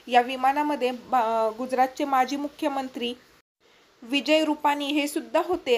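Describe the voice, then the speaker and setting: A woman vlogger delivers a news explainer in a notably high voice. She has a Marathi accent from Maharashtra. Her wording is formal and report-like, with occasional hesitations as she goes. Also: a little hiss or hum.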